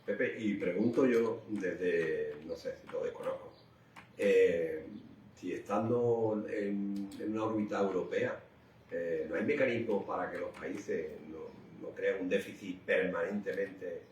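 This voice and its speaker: Low-voiced man, roomy and off-mic. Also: a little slow, darkish tone, far from the mic. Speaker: radio co-host. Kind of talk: casual on-air chat, prompting and asking. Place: local radio studio. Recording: roomy, off-mic, faint noise.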